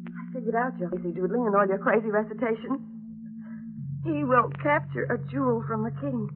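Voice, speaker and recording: A thin, muffled 1940s radio recording. A woman plays a desperate wife with a high voice, defiant and bitter, throwing accusations with raw melodramatic emotion. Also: fairly quick, a crowd in the background, close to an everyday voice. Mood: bitter, accusing.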